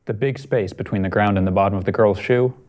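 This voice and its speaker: A man's voice: lowish voice, steady tone, fast, darkish tone. A man in his twenties reading a picture book aloud and chatting casually in a studio. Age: 20s. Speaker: storytime reader. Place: small TV studio at a desk.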